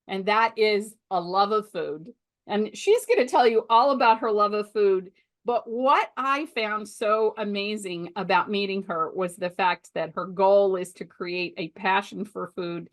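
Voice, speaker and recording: A middle-aged woman hosting an interview show with upbeat, friendly introductions. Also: medium pitch. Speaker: host.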